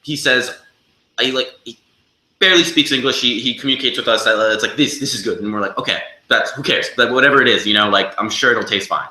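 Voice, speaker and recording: Animated man telling stories, bright echoey sound. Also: medium pitch. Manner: halting delivery with repeated words and acted-out quotes. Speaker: band member being interviewed. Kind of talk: animated casual storytelling. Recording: echoey room.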